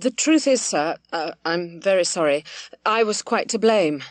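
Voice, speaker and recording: A woman reading aloud, voicing a nervous young gentleman who stammers and hesitates before his father. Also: fairly low pitch, expressive, normal speed, no room sound, natural voice. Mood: pleading.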